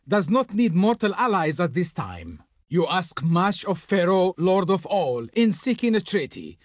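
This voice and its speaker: Casting: haughty ancient Egyptian court envoy in a strategy game; high voice, swooping delivery, flowery and pompous. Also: male voice, no echo, studio quality, full character voice. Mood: haughty, dismissive. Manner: pompous, declamatory. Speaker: game voice actor playing a diplomat. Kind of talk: theatrical scripted game dialogue.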